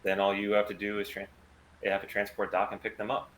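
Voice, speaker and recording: A man plays a roguish sci-fi pilot, calm and defiant, in a fairly low voice. He sounds like a man with a plan. There is faint noise on the recording. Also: quick pace, natural voice, poor audio. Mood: cool, assured. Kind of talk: sci-fi audio drama table read.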